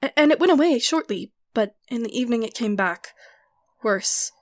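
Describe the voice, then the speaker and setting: A woman playing an anxious, protective wife and mother in an audio drama, halting and emotional. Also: on the high side, lively intonation, natural voice. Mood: worried.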